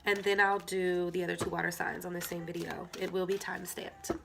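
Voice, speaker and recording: A woman's voice: fairly low pitch, level tone, fairly quick, vocal fry, noisy background. A woman with a stuffy nose, chatting casually to viewers as she reads tarot cards. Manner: chatty, filler-heavy, talks straight to viewers. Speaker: tarot reader. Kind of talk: casual tarot reading to camera.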